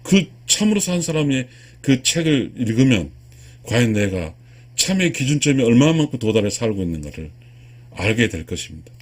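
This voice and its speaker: A man in his sixties from South Korea giving a spiritual lecture to viewers, earnest and emphatic, with a husky voice and repeated key phrases. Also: mid-range voice, very animated, normal speed. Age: 60s. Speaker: lecturer, video channel host.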